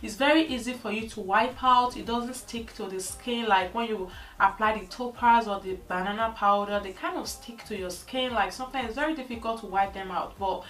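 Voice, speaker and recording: A young woman talking casually through her makeup routine, relaxed and chatty, with lots of "like". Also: on the high side, fairly quick, recorded from a distance. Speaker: beauty vlogger. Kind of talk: casual makeup tutorial talk. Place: indoors at home, plain room. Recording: roomy echo, a little off-mic.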